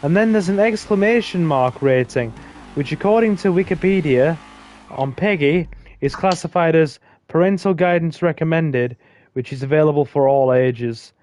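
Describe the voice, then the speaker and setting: A man's voice: a little high, moderate pace, a little mellow. A man hosting a casual gaming stream, chatting with a friend in a rambling way with fillers. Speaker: streamer host.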